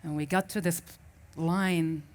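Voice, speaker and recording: A woman's voice: fairly low pitch, fairly even intonation, a little slow, a little mellow. A woman from Syria, a native Arabic speaker, giving a reflective personal story as a festival speaker and often restarting as she searches for words.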